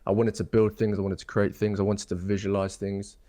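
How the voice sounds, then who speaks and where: Young man, low voice, rambling. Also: little pitch movement, fairly quick, dark tone. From England. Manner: thoughtful, rambling, many ums and restarts. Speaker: guest architect. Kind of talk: casual interview chat.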